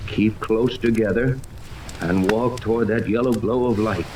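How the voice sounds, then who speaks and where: An actor playing a commanding, level-headed yacht captain in a 1930s radio serial, speaking with deliberate authority. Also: male voice, lowish voice, steady tone, close to an everyday voice. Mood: commanding, steady. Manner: authoritative, deliberate, gives orders. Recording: noisy 1930s radio, rain ambience over it.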